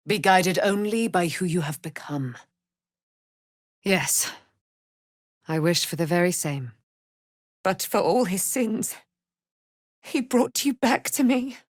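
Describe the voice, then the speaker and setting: A woman's voice: on the low side, no room sound, studio quality. A woman playing a proud, noble immortal warrior in a fantasy video game, with grand, archaic, theatrical speech.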